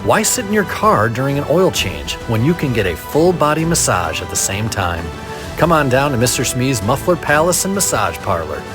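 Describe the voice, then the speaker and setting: A man playing a jolly, folksy pitchman in an upbeat parody radio commercial. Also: big pitch swings, normal speed, natural voice. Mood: upbeat salesy. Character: jolly male pitchman for a storybook muffler-and-massage shop. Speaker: commercial announcer. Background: music bed.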